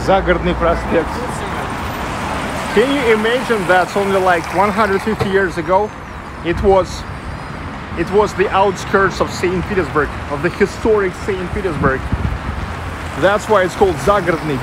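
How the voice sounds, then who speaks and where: A man with a Russian accent and a fairly high voice guides a live walking tour in English. He talks loosely, searching for words and fixing his own slips. He is recorded on a handheld camera mic out on a city street, with loud traffic all around him. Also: moderate pace. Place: busy city street outdoors. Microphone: handheld selfie camera mic.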